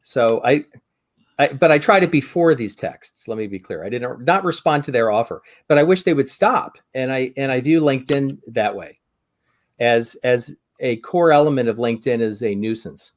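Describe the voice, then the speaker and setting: A man in his fifties with an American accent talks in a conversational podcast style. His voice sits at an average male pitch, and he is reflective and wry, measuring out his points with pauses. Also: lively intonation. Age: 50s. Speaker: podcast co-host or guest. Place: indoor room on a video call.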